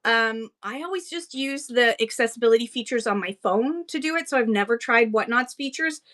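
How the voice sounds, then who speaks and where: Chatty Canadian woman, higher voice. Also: a little fast, a bit bright. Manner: chatty and laughs easily between points. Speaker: livestream seller and host. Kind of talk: casual livestream teaching.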